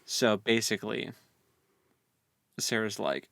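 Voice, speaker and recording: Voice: medium pitch, expressive, takes it slowly, vocal fry, fairly bright tone. A podcast co-host casually discussing a book, retelling it in an informal, wry way.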